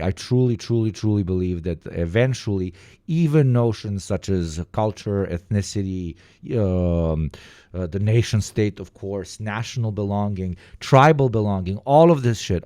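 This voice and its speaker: A man with an Eastern European accent, reacting to a video in casual, profane, rambling commentary with sudden animated bursts. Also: low voice, no echo, studio quality.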